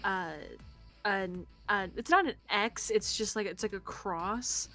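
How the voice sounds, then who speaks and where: A woman co-hosting a gaming stream in casual chat, excitable, giggly and rambling. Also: medium pitch, slow pace, soft background music. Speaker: streamer co-host.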